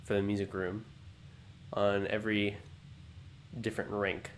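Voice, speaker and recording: A man hosting a let's play, thinking aloud in an unhurried, musing way, his voice low and groggy from just waking up. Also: little pitch movement, a little hiss or hum. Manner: musing, unhurried, self-talking. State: low morning voice, just woken up. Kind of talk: thinking-aloud gameplay commentary.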